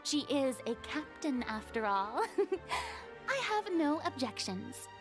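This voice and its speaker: A woman's voice: high voice, very animated, slow pace, full character voice. A woman voicing a proud, theatrical young woman in a game, grandiose and boastful. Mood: haughty. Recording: game audio with music behind.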